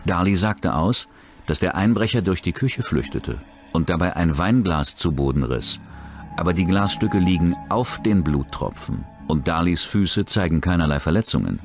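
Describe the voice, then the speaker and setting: Very deep-voiced male documentary narrator. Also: expressive, moderate pace. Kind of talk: scripted true-crime documentary narration. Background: background music.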